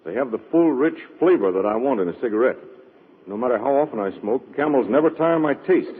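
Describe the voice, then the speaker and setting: A man's voice: mid-range voice, expressive, fairly quick, slightly rough. A man reading a scripted testimonial in a 1940s radio advertisement. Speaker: testimonial reader. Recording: narrow radio band, hall reverb.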